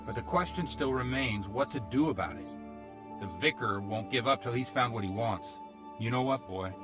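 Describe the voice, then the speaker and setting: A man acts as a village priest in a game cutscene, an older cleric with a mid-pitched voice. He is careful and plain-spoken, protective of his flock and earnest in conversation. Music plays behind him. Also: moderate pace, natural voice. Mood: earnest, resolute. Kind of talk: video game cutscene acting.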